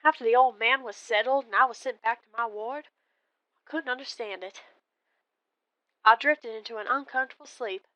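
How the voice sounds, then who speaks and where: A woman narrating a first-person ghost story in a deliberate, expressive, dramatic way. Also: fairly high pitch, no echo, poor audio. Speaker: storyteller calling in to a horror radio show.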